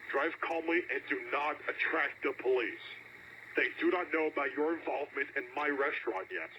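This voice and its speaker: A man's voice: a little high, moderate pace, highs cut off, a little hiss or hum, close to an everyday voice. An actor playing a paranoid, ruthless male restaurant owner who gives urgent orders over a call in a horror audio tape. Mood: commanding. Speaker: villain giving orders by phone.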